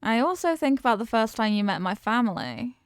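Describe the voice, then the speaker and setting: A woman's voice: on the high side, very animated, a little fast, smooth, no room sound, studio quality. A woman playing a confident mafia boss girlfriend in an intimate romantic audio roleplay, talking tough but tenderly with affectionate slang. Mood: fond, reflective. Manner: affectionate tough talk with slangy pet names.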